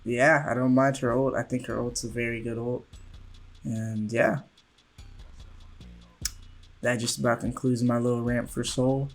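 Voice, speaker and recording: A man talks through a game commentary rant in a medium-pitched voice that sounds nasal from a sore throat. He is just getting over being sick. His tone swings between calm explanation and exasperated complaint. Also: unhurried, vocal fry, pauses often, soft background music. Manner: ranting, exasperated, rising and louder when annoyed. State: sore throat, recovering from illness. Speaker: gaming YouTuber.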